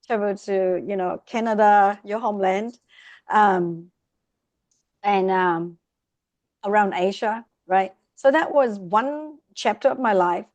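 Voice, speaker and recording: Podcast guest: middle-aged woman, expressive storyteller, dry close sound. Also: medium pitch, takes it slowly, dry recording, dull audio. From Vietnam. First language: Vietnamese. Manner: lively, expressive, speeds up in bursts. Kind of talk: casual interview storytelling.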